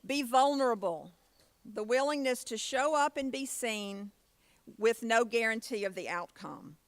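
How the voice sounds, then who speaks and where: A woman's voice: fairly high pitch, lively intonation, a little slow, dry recording. A woman giving a motivational conference keynote with personal stories, in a plain-spoken, folksy way. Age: 50s. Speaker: keynote speaker.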